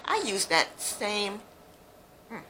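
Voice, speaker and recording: A woman's voice: mid-range voice, sing-song, slow pace, pushed and bright, slight background noise. A woman from Jamaica giving a chatty, frank, opinionated recap of a reality show, with plenty of ums and asides. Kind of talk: casual monologue, opinionated recap.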